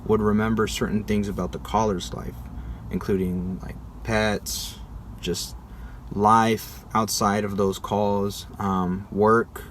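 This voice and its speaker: A man's voice: on the low side, a little hiss or hum. A young American man presenting to viewers in an informal, conversational way, like a casual vlog narration. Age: young adult. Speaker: video creator presenting a school project.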